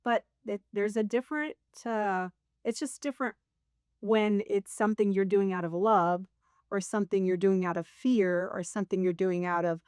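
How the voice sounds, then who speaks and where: A woman's voice: medium pitch, moderate pace, a little mellow, clear, resonant tone, no echo, clean studio sound. A woman in her sixties sharing her faith story in a casual conversation, rambling and laughing at herself. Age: 60s. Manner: rambling, self-deprecating, laughs often. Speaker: guest.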